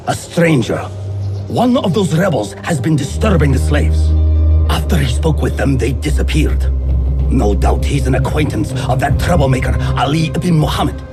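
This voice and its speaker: A man's voice: medium pitch, expressive, normal speed, over loud music, natural voice. An actor playing a haughty, greedy male merchant who talks in a contemptuous, irritable way. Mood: grudging.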